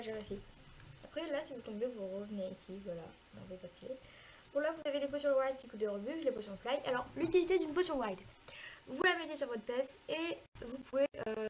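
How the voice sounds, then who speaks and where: A congested woman with a cold talks through a video game, her voice sitting a bit high. She chats casually and hesitates often, filling pauses as she plays along. A faint hiss runs underneath. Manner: chatty, hesitant, frequent filler pauses. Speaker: gaming video creator. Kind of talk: casual gameplay tutorial commentary.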